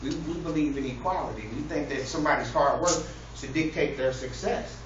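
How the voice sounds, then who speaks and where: A man's voice: on the high side, a little fast. A man giving an informal, humorous speech to an audience in a mock-earnest, preacher-like way. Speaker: public speaker. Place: echoey indoor room with an audience. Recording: distant, very echoey, noisy, muffled room recording.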